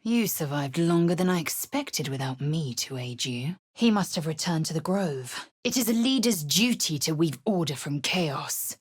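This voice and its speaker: Game role: disciplined alien warrior woman, imperious and scornful, lowish rasping voice, formal clipped delivery. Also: lively intonation, moderate pace, hardly any pauses. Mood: stern, resolute. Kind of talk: video game character voice lines.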